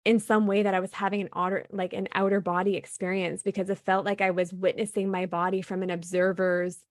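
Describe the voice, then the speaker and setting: A woman's voice: mid-range voice, flat delivery, fairly quick, no echo, studio quality. A woman from Canada, a guest on an interview show, telling a personal story in an earnest, reflective way with many fillers. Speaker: podcast guest.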